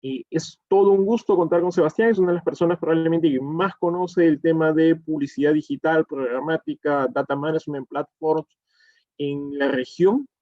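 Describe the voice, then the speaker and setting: Male moderator, fairly high mellow voice, dull dry video call sound, filler-heavy introduction. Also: fairly even intonation, normal speed, dry recording. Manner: reads a speaker bio with frequent "eh" fillers. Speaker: webinar moderator. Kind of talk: formal introduction.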